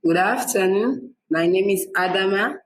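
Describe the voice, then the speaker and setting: A woman's voice: medium pitch, normal speed. A teenage girl from Sierra Leone introducing herself steadily on a video call. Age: teens. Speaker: student panelist.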